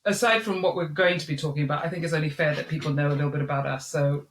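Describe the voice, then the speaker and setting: A middle-aged woman co-hosts a podcast in an animated, chatty manner, often restarting her sentences and laughing easily. Her voice rings out in a roomy, echoey space. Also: low-pitched, racing. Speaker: podcast co-host. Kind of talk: casual chat.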